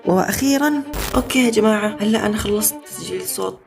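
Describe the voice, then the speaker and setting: A man's voice: high-pitched, rapid-fire, gravelly, over music. A young man with a Levantine Arabic accent, talking casually in a self-mocking video diary and sometimes slipping into jokingly formal Arabic. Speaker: amateur animator and vlogger.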